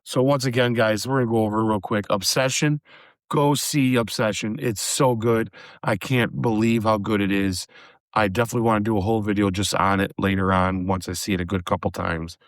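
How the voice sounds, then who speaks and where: A man hosting a solo horror movie review podcast, chatty and casual, calling his listeners guys, his voice a little hoarse from a recent cold. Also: on the low side, fairly even intonation, fairly quick, no room sound. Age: adult with a daughter. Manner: chatty, addresses listeners as guys, many fillers. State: slightly hoarse, recovering from a cold.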